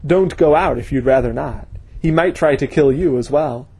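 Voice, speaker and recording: A man voicing a grim, husky male scholar. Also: on the high side, normal speed, dark tone, no room sound. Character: grim, intense male scholar turned avenger.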